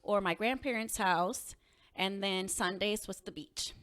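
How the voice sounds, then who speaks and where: A woman from Puerto Rico, a podcast guest, telling personal stories in an animated, expressive way. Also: mid-range voice, a little slow. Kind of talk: casual conversational storytelling.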